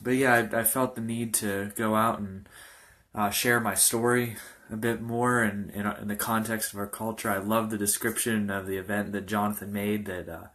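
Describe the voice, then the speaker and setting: A man in his early twenties, a pastor giving an informal personal testimony in a halting, loosely rambling way. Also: on the low side, level tone, a bit bright. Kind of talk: informal testimony talk to camera.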